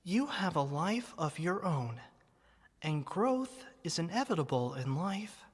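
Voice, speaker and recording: A tender, wistful teddy bear played by a woman speaks in an ASMR roleplay with a soft, slightly low voice. The delivery is very unhurried and sentimental, like a loving childhood friend. Long reverb surrounds every word. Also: very animated, full character voice. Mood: wistful, resigned. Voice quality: soft, breathy.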